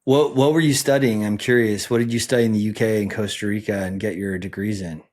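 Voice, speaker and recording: Lower-voiced male podcast host. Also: steady tone, quick pace, darkish tone. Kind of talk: scripted intro then casual interview.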